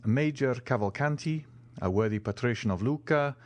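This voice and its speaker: A man voicing a cool, composed wealthy count who speaks in a measured way. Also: mid-range voice, no echo, voice pushed up, natural voice.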